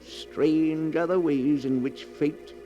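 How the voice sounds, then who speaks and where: An actor playing a sinister, gleeful keeper of a book of dark tales, theatrically, in 1940s radio horror style. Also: male voice, a little high, fairly even intonation, slow pace, muffled recording, soft background music. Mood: ominous.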